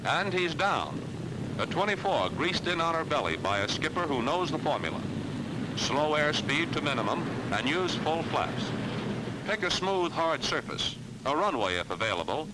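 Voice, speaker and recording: A man's voice: a little high, very animated, moderate pace. An American man reading scripted 1940s military training film narration in an assured, instructional way with clipped phrasing. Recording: noisy 1940s film soundtrack.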